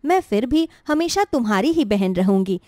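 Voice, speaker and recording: Patient elder sister acted by a woman, highish voice in a dry recording, mild and courteous, moral tale. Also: lively intonation, moderate pace, a little mellow, dry recording, lightly acted. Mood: calm, patient.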